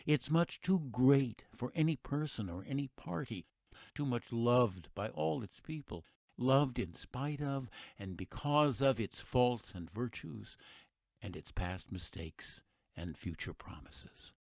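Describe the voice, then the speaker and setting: A man with an American accent playing an earnest, reflective radio narrator, delivering a scripted patriotic monologue in a measured, oratorical way. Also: medium pitch, expressive, creaky, darkish tone, dry recording, studio quality. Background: music behind the voice.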